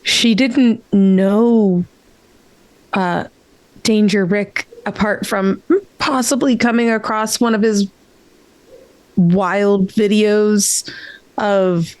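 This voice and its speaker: A woman's voice: mid-range voice, darkish tone, no echo. A woman playing a tabletop game, describing her character's actions in a hesitant, improvised third-person narration.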